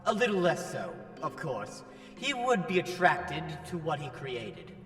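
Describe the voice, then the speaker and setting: A man's voice: on the high side, very animated, unhurried, fairly bright tone. A man voicing a flamboyant, exasperated male angel with a preachy, scolding, theatrical delivery. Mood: pompous, preachy. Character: flamboyant, exasperated, foul-mouthed male angel apparition in a toga. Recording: reverberant hall effect over faint music.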